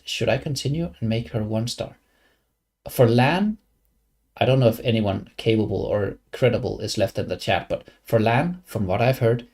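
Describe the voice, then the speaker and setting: Young Danish-accented streamer, gravelly voice, loose rambling chat with lots of restarts. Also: male voice, mid-range voice, darkish tone. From Denmark. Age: young adult. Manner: rambling, many fillers and restarts. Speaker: game streamer. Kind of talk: casual live stream chat.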